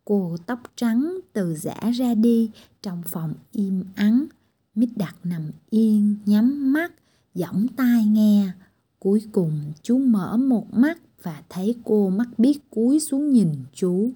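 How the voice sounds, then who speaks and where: A woman reads a fairy tale aloud for children in a gentle, expressive way. Her voice is very warm and soft, with a breathy grain. She takes it slowly, letting her pitch rise and fall widely. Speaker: storyteller. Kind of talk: children's storybook narration.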